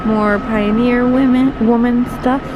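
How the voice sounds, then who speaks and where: A woman's voice: on the high side, steady tone, slow pace, highs cut off. A young woman with a stuffed-up voice from a cold, chatting casually while she browses a big store and films on her phone. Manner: chatty, upbeat, lots of "like" and "kinda". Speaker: vlogger. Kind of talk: casual shop-with-me chat. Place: inside a large busy store. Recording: loud store noise, speaker and fan nearby.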